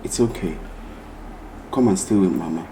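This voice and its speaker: Casting: steady older brother, low voice, reassuring, echo with music behind. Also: male voice, moderate pace, vocal fry, background noise. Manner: calm, reassuring. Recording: echoey room with music.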